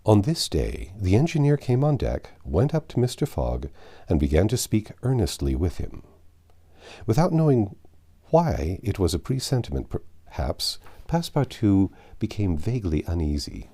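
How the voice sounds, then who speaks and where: A man reading a classic adventure novel aloud as an expressive narrator. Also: on the low side, moderate pace. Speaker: audiobook narrator.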